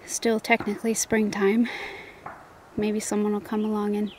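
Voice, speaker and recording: A woman's voice: mid-range voice, completely flat delivery, normal speed, a little hiss or hum. A middle-aged woman narrating her mountain hike to a handheld camera outdoors, often dropping to a near whisper. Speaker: hiker filming a vlog. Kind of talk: casual spoken trail narration.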